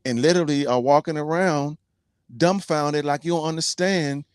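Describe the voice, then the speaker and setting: A man's voice: a little high, moderate pace, clear, resonant tone, no room sound, clean studio sound. A man in his forties, a team trucker and co-host, talking bluntly and preachily in casual slang. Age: 40s. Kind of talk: casual podcast chat.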